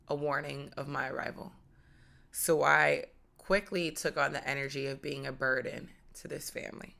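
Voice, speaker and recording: Flat-voiced woman with vocal fry. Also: deep voice. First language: Portuguese. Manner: candid, reflective, measured. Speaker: podcast host. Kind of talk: personal storytelling monologue.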